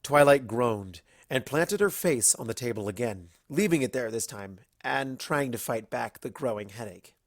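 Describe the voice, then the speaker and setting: A woman's voice: very deep voice, animated, normal speed, hardly any pauses, no room sound, clean studio sound. A woman narrating a comic story as a reader, in a storytelling style. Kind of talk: lively narration of a comic fan fiction story.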